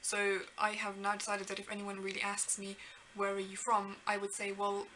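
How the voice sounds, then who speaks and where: A young woman with a bright, crisp voice sits down to vlog her thoughts. She talks to the camera in an earnest, rambling way, pitched right in the middle, and pokes fun at herself as she goes. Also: completely flat delivery, normal speed, slight background noise. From Kenya. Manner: rambling, earnest, self-deprecating. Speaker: vlogger. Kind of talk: reflective casual talk to camera.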